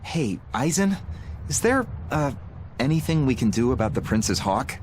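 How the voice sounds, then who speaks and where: A man playing an excitable, fretting pirate crewman. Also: medium pitch, moderate pace, slight background noise, close to an everyday voice. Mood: worried, complaining. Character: anxious, complaining young male pirate crewman. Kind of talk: English anime game dub acting.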